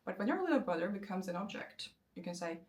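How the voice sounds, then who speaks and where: A woman in her twenties, a native Turkish speaker, explaining language learning in a casual, lively way and slowing down for example sentences. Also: lowish voice. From Turkey. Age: 20s. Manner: lively, conversational, slows down to spell out example sentences. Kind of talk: casual explanatory talk to camera.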